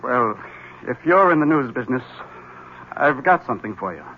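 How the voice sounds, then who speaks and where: An actor playing a polite, troubled husband in a radio drama, hesitant, apologetic and earnest. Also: male voice, medium pitch, expressive, moderate pace, natural voice. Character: polite, troubled husband calmly confiding murder plan. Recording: muffled old radio, faint noise.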